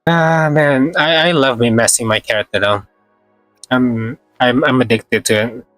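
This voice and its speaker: A man's voice: medium pitch, a little slow, a bit bright, no echo, soft background music. A man giving casual, rambling live commentary as he streams a game, repeating himself and swearing mildly, with a slightly sore throat.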